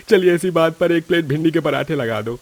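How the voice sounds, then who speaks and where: A man's voice: a little high, moderate pace, voice pushed up. A man voicing an enthusiastic, jovial street-food customer in a cartoon story. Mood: amused, impressed. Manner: jovial, impressed. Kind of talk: Hindi cartoon story dialogue.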